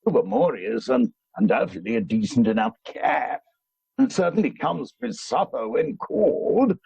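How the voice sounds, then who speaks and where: Man playing a gravelly, dithering country gentleman. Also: fairly high pitch, huge pitch swings, normal speed, no room sound, exaggerated voice. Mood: genial, doubtful. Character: genial, dithering upper-class gentleman host. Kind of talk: amateur radio play acting. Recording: muffled video call audio.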